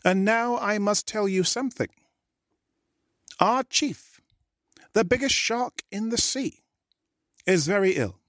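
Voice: medium pitch, expressive, slow, pauses often, fairly bright tone, no echo, clean studio sound, voice pushed up. A voice doing a silly gullible shark. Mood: earnest. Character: gullible, simple-minded shark. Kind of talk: storybook reading.

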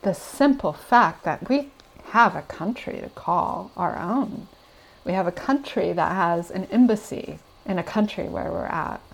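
A woman's voice: mid-range voice, expressive, a little slow, vocal fry, rough recording. An American woman answering interview questions about travel in an earnest, reflective way. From United States. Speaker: podcast guest.

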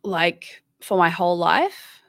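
A woman's voice: lowish voice, lively intonation, slow, creaky, fairly bright tone, dry recording, studio quality. An Australian woman in her thirties, a guest chatting casually and candidly, rambling with many restarts. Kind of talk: casual interview chat.

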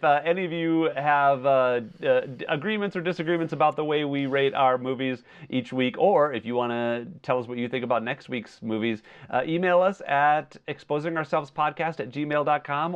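Slightly muffled lo-fi audio, guy cohosting a movie podcast, clean smooth voice, measured and kinda hedging. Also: a little high. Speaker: podcast co-host. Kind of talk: casual movie chat. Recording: slightly dull, lo-fi sound.

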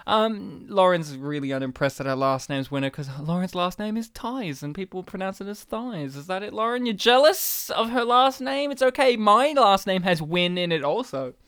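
A talkative, animated man chatting casually and teasingly about films as a podcast host. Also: sits high, moderate pace, hardly any pauses, clear, resonant tone, no room sound, clean studio sound. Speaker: film discussion podcast host. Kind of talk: casual film chat.

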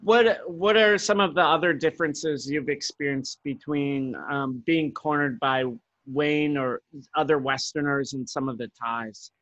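Hesitant man interviewer, slightly high voice. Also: measured pace, no echo, muffled recording. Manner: relaxed, hesitant, many "um"s and "mm-hmm"s. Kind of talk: casual interview questions.

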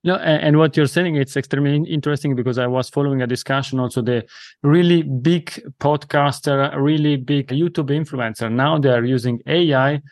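A podcast host speaks English with an Italian accent, pausing and restarting words as he goes. His voice sits at a middle pitch, and the sound is dry and close. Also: a little mellow, dry recording. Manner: halting, restarts words while searching. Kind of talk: conversational interview.

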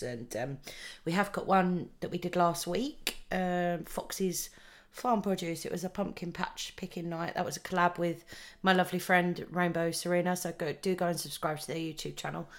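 A woman hosting a podcast in a casual, chatty way. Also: on the low side. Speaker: podcast host.

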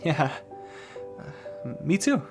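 A man playing a young, downcast, earnest rookie cop in an audio drama, halting and unsure of himself. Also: a little high, sing-song, vocal fry, music in the background, full character voice. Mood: shy, hesitant. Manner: halting, unsure, soft-hearted.

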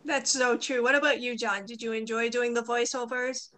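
A woman's voice: fairly high pitch, steady tone, normal speed, crowd noise. A woman with a Boston accent hosting a casual interview podcast, chatty and encouraging. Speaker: podcast host.

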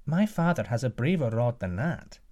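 A man voicing a proud, steady young prince. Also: medium pitch, moderate pace, natural voice.

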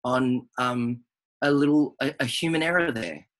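A man, a stage performer, talking as a guest in a casual interview, thoughtful and halting with restarts. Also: mid-range voice, a bit bright.

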